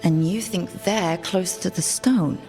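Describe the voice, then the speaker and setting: An actress playing a confident, wry adventurer in a video game, with a direct manner. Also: female voice, lowish voice, unhurried, mellow, soft background music, natural voice. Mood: curious, earnest. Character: confident, wry adventuring woman, determined and teasing. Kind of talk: video game character acting.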